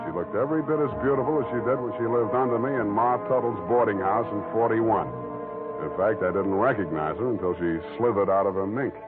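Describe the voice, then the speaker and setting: An actor playing a wry, weary hard-boiled private detective with a husky voice and deadpan delivery, in 1940s radio style. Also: male voice, lowish voice, fairly quick, loud music behind the voice, lightly acted. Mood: dry, matter-of-fact. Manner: deadpan, sardonic. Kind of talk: 1940s radio detective acting, first-person narration. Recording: muffled, narrow-band 1940s radio recording.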